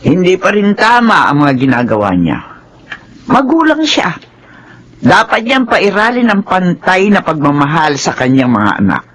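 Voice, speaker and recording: An actress playing a kindly but indignant old grandmother in a Filipino radio drama, husky and emphatic. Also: lowish voice, huge pitch swings, moderate pace, fairly bright tone, exaggerated voice. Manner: emphatic, swooping.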